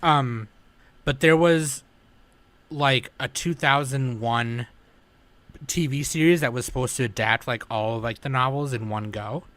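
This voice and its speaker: A man chatting on a podcast as a guest, telling stories with animated energy and slipping into impressions. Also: mid-range voice, a little slow, no echo. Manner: animated storyteller who slips into impressions. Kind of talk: casual podcast chat.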